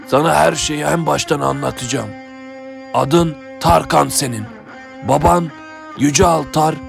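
A man playing a loyal old retainer in an animated adventure, telling of the past in a gravelly, earnest, solemn voice. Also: fairly high pitch. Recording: music behind the voice.

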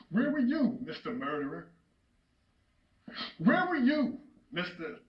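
A man playing a grieving, furious father disowning his son in a theatrical stage monologue. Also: high voice. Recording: muffled, roomy, distant studio stage microphone.

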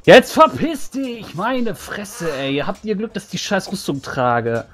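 A man's voice: sits high, big pitch swings, unhurried, voice pushed up. A man voicing an impatient, foul-mouthed big warrior with an aggressive, exasperated manner, in a tabletop game. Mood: angry, dismissive.

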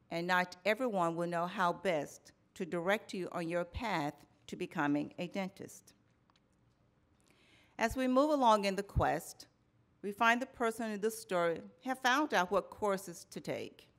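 A middle-aged woman delivering a keynote at a conference. She speaks from a lectern microphone in a measured storytelling manner, with a string of rhetorical questions and lists. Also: mid-range voice, a bit bright. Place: conference hall in front of a banner backdrop. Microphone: lectern microphone, medium framing.

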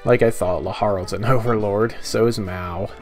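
A man giving casual, halting commentary on a video game, his phrases often trailing off. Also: fairly low pitch, steady tone. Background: video game music.

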